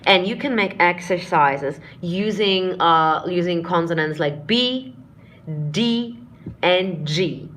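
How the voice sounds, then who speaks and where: A woman with a lowish speaking voice teaches singing on a live stream. She explains technique step by step, breaking into demonstration syllables and short sung lines, and calls her viewers friends. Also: slow. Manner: addresses viewers warmly as friends and dears. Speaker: vocal coach. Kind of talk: live-streamed singing lesson answering chat questions.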